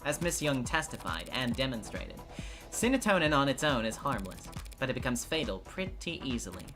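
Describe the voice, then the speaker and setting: Performer acting a bright, pointed courtroom counselor. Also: male voice, medium pitch, big pitch swings, normal speed. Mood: confident, accusing. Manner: pointed, accusatory cross-examining. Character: sharp, sardonic defense counselor in a courtroom mystery game. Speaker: streamer voice acting a game playthrough. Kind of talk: dramatic live character reading of game dialogue. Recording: music behind.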